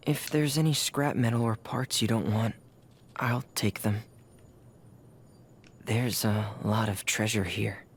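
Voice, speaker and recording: An actor playing a reserved, practical young male tinkerer in a video game, quiet and matter-of-fact. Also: fairly low pitch, steady tone, slow, long pauses, slightly rough, exaggerated voice. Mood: subdued, practical. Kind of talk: scripted game character voice acting.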